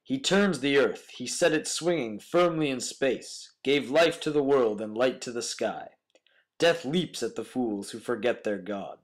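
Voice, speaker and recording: Young man reciting poetry, medium-pitched voice. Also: a little slow. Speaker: student reader.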